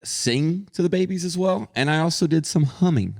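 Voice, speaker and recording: A man co-hosting a podcast in casual chat, playful and supportive with quick jokes. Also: fairly high pitch, expressive. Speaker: podcast co-host.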